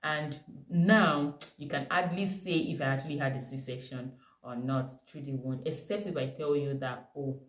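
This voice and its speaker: A young woman with a Nigerian accent telling a personal story to camera, animated and emphatic. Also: low-pitched. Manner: animated, emphatic retelling with bursts of laughter. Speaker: vlogger. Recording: echoey room.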